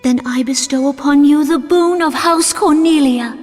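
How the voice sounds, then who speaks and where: Casting: woman to play solemn young royal for fantasy game, high-pitched, gracious, measured and formal, in a reverberant hall. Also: soft background music, natural voice. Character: young gracious princess, solemn, regal and gentle. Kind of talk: video game cutscene acting.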